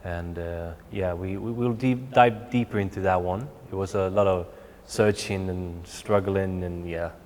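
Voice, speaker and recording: A young man, his voice on the low side. He answers questions haltingly, full of "like" and "you know", restarting his phrases. The studio gives his voice a long, echoey tail. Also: steady tone, darkish tone. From Sweden. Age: 20s. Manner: hesitant, many fillers like "like" and "you know". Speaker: guest. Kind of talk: interview answers.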